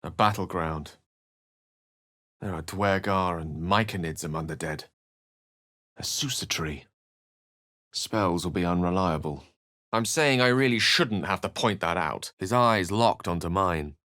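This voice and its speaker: An actor playing a scholarly, self-assured and romantic human wizard in a video game, with an eloquent, theatrical way of speaking. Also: male voice, low-pitched, unhurried, long pauses, sharp tone, dry recording, studio quality. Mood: thoughtful. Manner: eloquent, theatrical, ornate phrasing. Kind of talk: video game character lines and narration.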